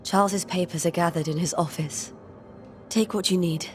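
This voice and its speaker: A woman playing a grieving colonial widow in a video game, speaking formally and with measured, restrained sorrow. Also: on the low side, steady tone, faint music behind, natural voice. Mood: subdued. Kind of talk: video game drama acting.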